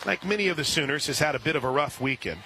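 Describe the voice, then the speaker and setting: Male softball play-by-play announcer, outdoor noise. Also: a little high, lively intonation, fast. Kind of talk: live softball play-by-play commentary. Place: outdoor softball stadium broadcast position. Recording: clear outdoor ballpark ambient noise.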